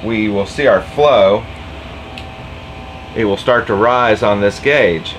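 A man's voice: on the low side, slight background noise, low-quality recording. A man in his forties casually walking through a homemade project in his cluttered workshop, explaining step by step, picked up by a camera mic a few feet away. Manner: conversational, explaining step by step with fillers. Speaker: boat owner and DIY tinkerer. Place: cluttered home workshop, indoors.

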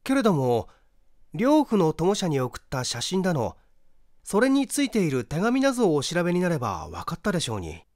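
A man's voice: a little high, wildly swooping pitch, fairly quick, a little mellow, no room sound, studio quality, pitched above the performer's own voice. A man voicing an inquisitive, eager amateur detective with a questioning manner in a story reading. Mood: probing.

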